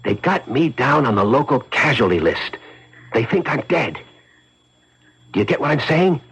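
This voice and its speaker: An actor playing a scheming, fast-talking, hard-boiled crook in a 1970s radio drama, slangy and insistent. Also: male voice, mid-range voice, sing-song, vocal fry, a bit bright, slightly rough, full character voice. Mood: urgent, secretive. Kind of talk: 1970s radio drama acting, with first-person narration. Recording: muffled 1970s radio broadcast, music underneath.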